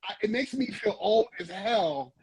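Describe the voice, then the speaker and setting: A man's voice: high voice, animated, a little slow, darkish tone, husky, no echo, rough recording. A man hosting a casual interview, asking questions in a friendly, chatty way with slang. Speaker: interview host.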